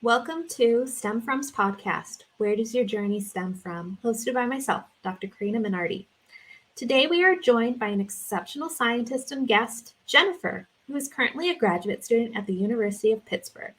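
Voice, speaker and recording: A woman's voice: on the high side, expressive, moderate pace, poor audio. A woman hosting a podcast, introducing her guest in an upbeat way and reading out the bio. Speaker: podcast host.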